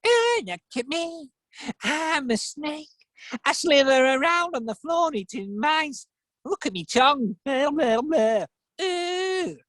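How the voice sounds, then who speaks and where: A man's voice: very high-pitched, big pitch swings, slow, a bit bright, no echo, pitched above the performer's own voice, exaggerated voice. A man voicing a silly, boastful storybook snake in a mocking manner.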